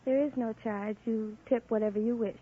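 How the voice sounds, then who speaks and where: A young woman with a slightly high, husky-edged voice gives firm, matter-of-fact answers as a contestant. The old radio recording is narrow and tinny. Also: steady tone, a little fast. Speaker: quiz show contestant. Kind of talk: brief interview answers.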